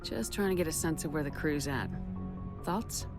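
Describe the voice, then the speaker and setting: A woman's voice: low-pitched, normal speed, lightly acted. Woman voicing game military commander lady, controlled, direct, decisive, music going behind. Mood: calm, probing. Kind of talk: video game dialogue acting.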